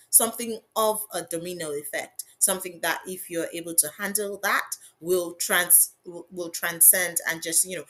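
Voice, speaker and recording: A woman with a strong, emphatic voice speaks up on a panel. Her pitch sits a little low, and she stresses her points with conviction. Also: a bit bright. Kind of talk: panel discussion remarks.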